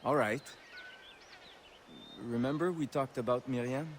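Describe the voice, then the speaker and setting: An eager, lovestruck frontiersman with a French accent voiced by a man in a game cutscene. His voice sits at a middling pitch, with faint outdoor noise around it. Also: unhurried, lightly acted. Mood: smitten, admiring. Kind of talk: video game cutscene acting.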